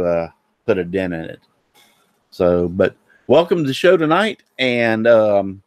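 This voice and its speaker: A man hosting a casual live-streamed woodworking talk show, sounding nasal and a little hoarse, rambling with many fillers and restarts. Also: mid-range voice, no room sound.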